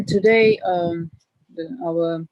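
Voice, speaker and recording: A woman's voice: lowish voice, steady tone, very slow, darkish tone, no echo. A woman from northern Pakistan, a guest lecturer answering questions modestly with many fillers. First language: Burushaski. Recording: dry, lo-fi call audio.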